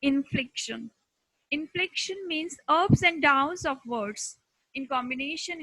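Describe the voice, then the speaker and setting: Slow, high-pitched Indian woman instructor. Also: bright, forceful tone, dry recording, rough recording. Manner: deliberate, halting phrasing in short chunks. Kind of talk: scripted instructional lecture.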